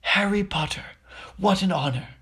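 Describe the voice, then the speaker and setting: A storyteller voicing an old male bartender, hushed, reverent and awestruck. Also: mid-range voice, moderate pace, voice pushed up, natural voice. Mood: awed.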